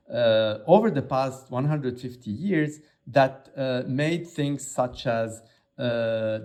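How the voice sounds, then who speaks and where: A man from Lebanon, the head of an aid agency, answering interview questions in a halting way with many filler sounds. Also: mid-range voice, a little mellow.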